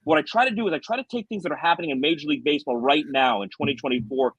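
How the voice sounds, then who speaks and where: A man's voice: on the high side, very fast, fairly bright tone, breathy edge, dry recording, muffled recording. A middle-aged American man, a former baseball radio announcer, telling stories conversationally as a podcast guest. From South Florida, United States. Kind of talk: conversational storytelling.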